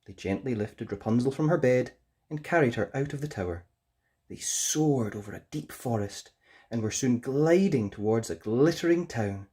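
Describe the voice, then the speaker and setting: A woman narrating a children's fairytale as an expressive storyteller. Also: very deep voice, normal speed, breathy edge.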